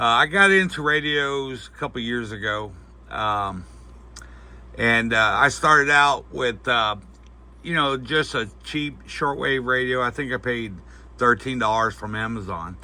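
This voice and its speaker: Bright-voiced man rambling through a review. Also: medium pitch, a little slow. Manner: folksy, hesitant, rambling delivery. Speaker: product reviewer. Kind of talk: casual unscripted gadget review.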